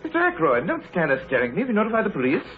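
An actor playing a brisk, authoritative village doctor in clipped 1930s radio drama style. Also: male voice, high-pitched, huge pitch swings. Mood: agitated, demanding. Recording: tinny, noisy 1930s radio broadcast.

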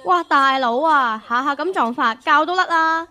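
A woman's voice: sits high, normal speed, sharp tone. A woman playing a short-tempered young girlfriend in a radio drama, whiny and scolding. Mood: indignant.

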